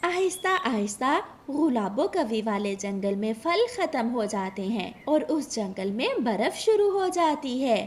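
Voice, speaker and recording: Casting: female storyteller for children's cartoon, high voice, very animated intonation. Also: measured pace, a little hiss or hum. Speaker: story narrator. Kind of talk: scripted children's cartoon narration in Hindi.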